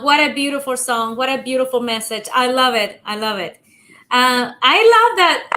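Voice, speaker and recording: High, bright woman host from Cuba. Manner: enthusiastic, effusive, laughs often. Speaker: interviewer host. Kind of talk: casual interview chat.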